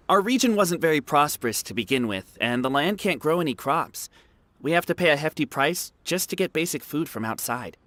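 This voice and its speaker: An actor voicing an earnest, excitable young war veteran in a video game. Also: male voice, fairly high pitch, dry recording.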